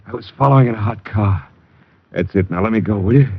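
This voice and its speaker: An actor playing a panicky, ruthless crime boss with a strained, stammering, pleading delivery in a vintage radio drama. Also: male voice, fairly low pitch, quick pace, close to an everyday voice. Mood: frightened pleading. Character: cornered crooked smuggling boss, panicky and ruthless. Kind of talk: 1940s-50s radio crime drama acting. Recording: dull old radio recording.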